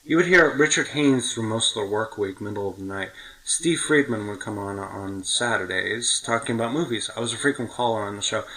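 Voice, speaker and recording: A man's voice: lowish voice, fairly quick, sharp tone, poor audio. A middle-aged man with an American accent, hosting a podcast in casual, reminiscing chat. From United States. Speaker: podcast host.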